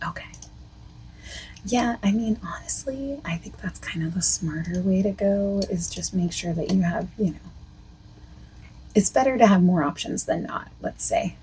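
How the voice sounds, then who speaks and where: A woman speaking softly and partly in whispers as a costume consultant in an ASMR roleplay, with a hesitant, filler-heavy way of talking. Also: normal speed, a little hiss or hum, low-quality recording. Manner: soft-spoken, drifting between whisper and low voice. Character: female costume consultant asking the listener questions.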